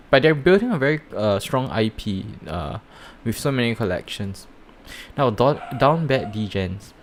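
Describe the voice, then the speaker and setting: A man with a Singaporean accent giving rambling, halting commentary while live-streaming reviews of projects. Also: medium pitch, sing-song, slight background noise. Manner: rambling, halting, many fillers and restarts.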